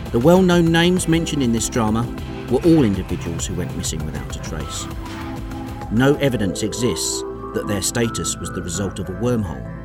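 A man delivering scripted narration as the narrator of an audio drama. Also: fairly low pitch.

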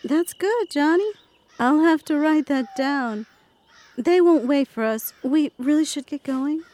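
A woman plays a friendly writer lost in time in an audio drama. Her voice is slightly high, and she speaks in an easygoing, conversational way. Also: expressive, measured pace, a little mellow, a little hiss or hum. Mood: friendly, encouraging.

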